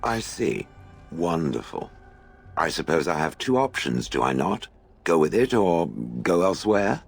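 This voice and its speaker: An actor playing a haughty, world-weary stone golem in a video game, with dry sarcasm, formal diction and a gravelly voice. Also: male voice, low-pitched, big pitch swings, a little slow, soft background music, heavily acted. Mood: pensive, puzzled. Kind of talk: video game character acting.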